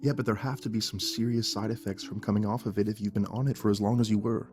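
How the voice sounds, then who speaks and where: A man's voice: fairly low pitch, fairly even intonation, fast, music in the background, lightly acted. An actor playing a skeptical, exasperated husband and young father in an audio drama. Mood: weary, cautious.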